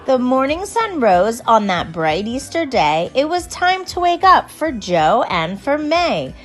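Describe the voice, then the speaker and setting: A woman reading a rhyming children's storybook aloud in an expressive, unhurried storytelling manner. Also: sits high, soft background music. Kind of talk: storybook read-aloud in rhyme.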